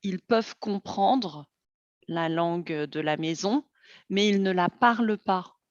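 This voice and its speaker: A woman hosting a slow, clear interview for language learners, friendly and hesitant, with light laughs. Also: lowish voice, no room sound. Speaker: host. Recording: dull, dry lo-fi sound.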